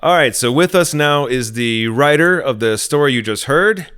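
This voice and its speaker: A man's voice: mid-range voice, expressive, normal speed, fairly bright tone, clear, resonant tone. A man hosting a podcast, introducing and interviewing a guest in a conversational way. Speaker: podcast host.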